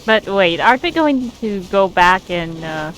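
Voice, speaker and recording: A woman casually commentating as she plays a video game, answering the characters aloud in a relaxed manner. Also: mid-range voice, unhurried, soft background music, rough recording. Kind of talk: casual gameplay reaction chat.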